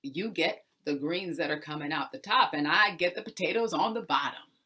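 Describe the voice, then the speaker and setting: A woman's voice: low voice, normal speed, sharp tone, slightly rough, full character voice. A woman storyteller voicing a polite, crafty male tenant farmer in a folk tale, courteous and slyly cheerful. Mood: sly, triumphant.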